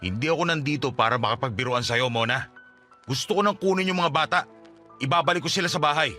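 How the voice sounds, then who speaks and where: High, tense, hurt estranged husband. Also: male voice, quick pace, pushed and bright, faint music behind. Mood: firm. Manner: earnest, insistent, accusing. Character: hurt, pleading, jealous estranged husband and father. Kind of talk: Tagalog radio drama acting.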